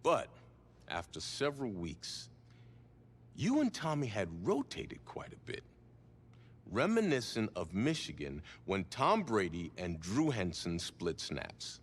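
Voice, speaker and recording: A man playing a measured, reflective sports interviewer in a video game cutscene. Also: lowish voice, huge pitch swings, a little hiss or hum, full character voice.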